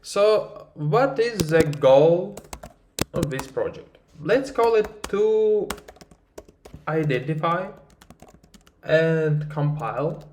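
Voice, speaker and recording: Russian-accented male tutor, slow, halting, higher voice, echoey room. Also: lively intonation, darkish tone, a little hiss or hum. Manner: slow, halting, many fillers and restarts. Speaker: marketing research instructor. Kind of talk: screen-recorded tutorial.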